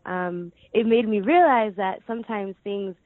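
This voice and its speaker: A woman in her twenties answering interview questions casually, hesitant, with many pauses and fillers. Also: mid-range voice, no room sound. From United States. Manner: hesitant, many ums, soft laughs. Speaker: guest. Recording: dry tinny phone-like line.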